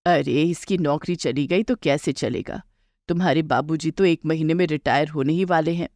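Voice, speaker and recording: A woman's voice: low-pitched, moderate pace, a little mellow, no echo, clean studio sound, pitched below the performer's own voice. A woman voicing an older mother-in-law in a moral story, anxious, bossy and conspiratorial in her scolding. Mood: worried.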